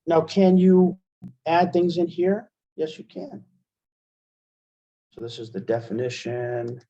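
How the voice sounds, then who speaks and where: A man giving an informal, step-by-step software demonstration as a webinar instructor, in dull lo-fi call audio. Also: a little high, animated, slow.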